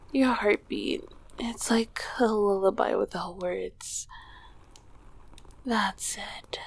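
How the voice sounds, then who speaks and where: A woman voicing an ancient succubus queen in an intimate ASMR roleplay, tender, regal and teasing, with a breathy, husky, often whispering voice. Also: mid-range voice, exaggerated voice. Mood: cozy, affectionate. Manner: slow, affectionate, softly teasing.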